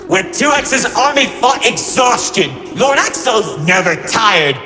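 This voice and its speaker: A man's voice: sits high, very animated, slow, bright, forceful tone, exaggerated voice. A man voicing a gleefully cruel trickster villain in a game, telling tales of war in a mocking, flippant, melodramatic way. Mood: awed, dramatic. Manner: mocking, flippant, melodramatic, swinging between grandeur and glee. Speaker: narrator villain. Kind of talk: theatrical storytelling narration. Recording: echoey hall reverb over music. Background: music.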